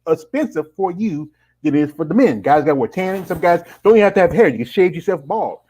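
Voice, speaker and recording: Middle-aged male host, rambling, full of "you know" fillers, slightly higher voice. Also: lively intonation, fast, dark tone, a little hiss or hum. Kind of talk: casual live-stream interview.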